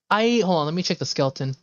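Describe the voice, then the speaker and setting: A man's voice: high voice, no echo. A man giving casual live game commentary as a streamer, rambling and sweary with enthusiastic asides. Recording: slightly dull, dry microphone.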